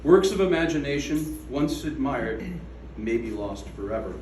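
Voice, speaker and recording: A man reading his own poem aloud at a gallery event, slow and measured, with falling line endings. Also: medium pitch, expressive, darkish tone, slight background noise. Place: art gallery room. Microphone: away from the microphone. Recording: echoey room from a distance.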